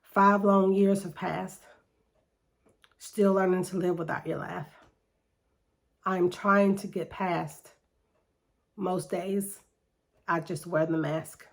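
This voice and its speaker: A middle-aged woman and poet, speaking reflectively and reading her poems in a slow, deliberate way with pauses, a little nasal from allergies. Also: lowish voice, fairly even intonation, gravelly. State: slightly nasal from seasonal allergies. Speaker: poet hosting her own segment. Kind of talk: reflective spoken monologue with poetry reading.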